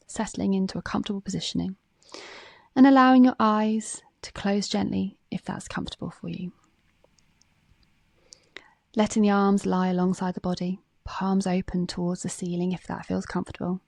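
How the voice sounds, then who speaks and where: A woman reading a guided meditation script in a calm, gentle, measured way, with phrases that soften at the ends. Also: mid-range voice, creaky, no echo.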